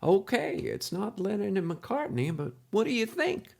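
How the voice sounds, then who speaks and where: A man's voice: high-pitched, wildly swooping pitch, unhurried, creaky, exaggerated voice. A man playing a folksy, heartfelt podcast host who addresses the listeners directly in a scripted drama. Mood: friendly, heartfelt. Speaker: podcast host in a fiction show.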